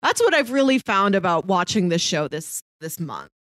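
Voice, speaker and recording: A woman's voice: lowish voice, very animated, moderate pace, fairly bright tone, no room sound, clean studio sound. A woman co-hosting a podcast, chatting casually as she reviews a show. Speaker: podcast co-host.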